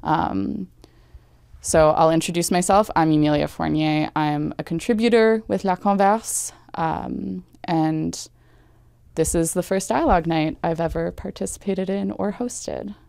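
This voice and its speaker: Low, gravelly woman hosting a talk. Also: a little slow, darkish tone, dry recording, studio quality. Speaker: host. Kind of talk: event opening. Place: studio.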